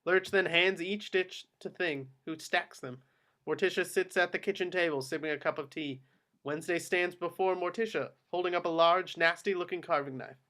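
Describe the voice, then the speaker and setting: Higher-voiced man reading screenplay directions. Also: moderate pace, bright, forceful tone. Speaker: stage-direction narrator. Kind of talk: scripted narration at a table read.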